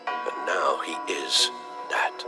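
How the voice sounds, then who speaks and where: An actor playing an elderly, devoted English butler in a video game, speaking in a formal, measured, worried manner with some hesitation. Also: male voice, very deep voice, expressive, heavily acted. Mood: grave, reproachful. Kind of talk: dramatic video game acting. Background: background music.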